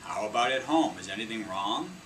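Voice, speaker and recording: A man's voice: fairly low pitch, expressive, a little fast, lightly acted. A man voicing a stern female schoolteacher in a storybook, with a firm, questioning manner. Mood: concerned, probing. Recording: distant mic, clear air-conditioning hum.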